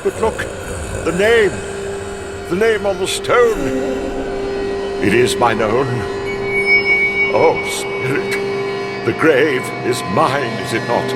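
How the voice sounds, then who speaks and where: Scared old miser in a radio play, begging, slow, voice swooping all over. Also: male voice, fairly high pitch. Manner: pleading, dramatic, halting. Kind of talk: theatrical radio-play acting. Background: music.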